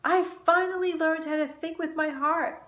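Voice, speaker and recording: A woman voicing an earnest, excitable young boy in a children's storybook. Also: extremely high pitch, moderate pace, roomy sound, pitched above the performer's own voice. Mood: proud wonder. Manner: pleading, whining and whispering by turns.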